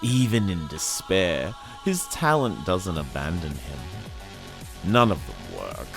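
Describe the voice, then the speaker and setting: A man reading a dramatic second-person story excerpt in a grave, theatrical, deliberate manner. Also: low voice, sing-song, creaky. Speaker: narrator reading a novel excerpt. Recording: dramatic music under the voice. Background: cinematic soundtrack music.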